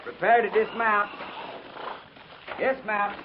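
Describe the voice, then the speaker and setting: A cavalry officer calling commands in a radio western. His voice is high and projected, the words stretched out slowly, amid heavy noise and hoofbeats on an old recording. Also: fairly even intonation. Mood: commanding. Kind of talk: 1950s radio drama acting. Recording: dull, noisy 1950s radio recording.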